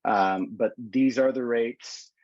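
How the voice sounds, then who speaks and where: A man's voice: mid-range voice, slow pace, darkish tone, no room sound. A man walks viewers through trip prices on a webinar, loose and conversational, full of ums and false starts. His voice comes over a dull, lo-fi video call and sounds dry, with no room around it. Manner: casual, many fillers and restarts. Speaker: tour organizer. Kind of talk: webinar presentation. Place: indoors in a city.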